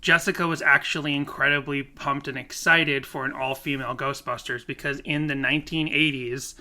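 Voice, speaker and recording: A man co-hosting a podcast in casual chat, animated and laughing often, with rambling restarts. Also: medium pitch, normal speed. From Korea. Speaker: podcast co-host.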